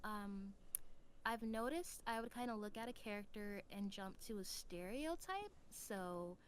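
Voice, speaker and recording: A woman giving a casual interview as a voice actor, telling stories in an animated, giggly way. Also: medium pitch, a little slow, slight background noise. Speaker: voice actor, podcast guest.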